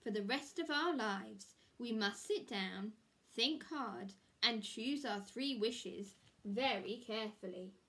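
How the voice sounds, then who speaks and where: A woman voicing an earnest, hapless poor woodcutter in a storybook, with expressive, plaintive delivery. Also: sits high, slow pace, full character voice.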